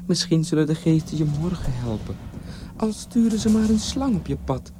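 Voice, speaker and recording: Man putting on a high-pitched, sly, sweet village wife. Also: very animated, normal speed, warm tone, faint music behind. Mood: sweetly teasing. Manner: sweetly wheedling, exclamatory. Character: sly, mock-innocent African village wife and mother.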